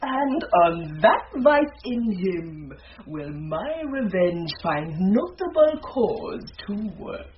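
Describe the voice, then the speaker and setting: An actress playing a witty, mischievous, scheming gentlewoman servant with a British accent and a sly, relishing manner in a Shakespearean radio comedy. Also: fairly low pitch, sing-song, takes it slowly, few pauses. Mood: sly, gleeful. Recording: dull, slightly noisy radio broadcast.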